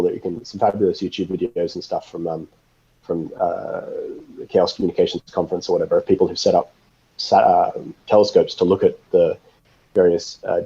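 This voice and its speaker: A man being interviewed, speaking casually and haltingly as he thinks aloud, with wry, informal asides. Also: low voice, mellow, breathy edge, highs cut off. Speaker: interview guest, software systems architect.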